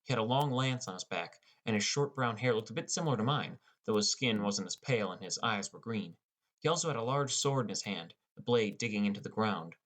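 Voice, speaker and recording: A man's voice: on the low side, expressive, fairly quick, a bit bright. A man narrating a scripted first-person story as an audiobook narrator.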